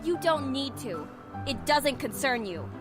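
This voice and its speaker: A prickly young student woman from an anime-style game, guarded and curt. Her voice sits fairly high and swings expressively, with music playing underneath. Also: very animated, unhurried. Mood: curt, defensive. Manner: curt, defensive.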